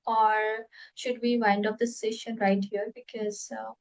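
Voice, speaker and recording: Young indian woman hosting english practice chat, kinda high voice, lots of uhs and likes. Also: flat delivery, unhurried, poor audio. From Uttar Pradesh, India. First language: Hindi. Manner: hesitant, many fillers, friendly. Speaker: English conversation practice host. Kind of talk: casual conversation practice.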